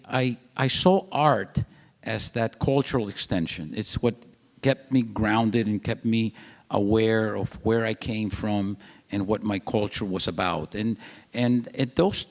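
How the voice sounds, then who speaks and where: Older guy, low voice, lots of ums and restarts, big auditorium echo. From Latin America. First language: Spanish. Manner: rambling, many fillers and restarts. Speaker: art collector, panel guest. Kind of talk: public onstage conversation. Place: museum auditorium. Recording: long reverb of an auditorium.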